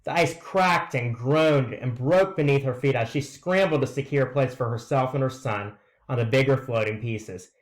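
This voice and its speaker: A man's voice: mid-range voice, normal speed. A man reading aloud in a scripted narration style, presenting a written story to listeners. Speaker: reader presenting a read-aloud.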